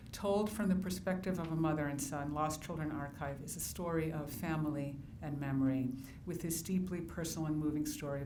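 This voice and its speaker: A woman host earnestly reading a prepared introduction of an author to an audience. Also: low voice. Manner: earnest, reads out praise. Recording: echoey room, distant mic, audible noise.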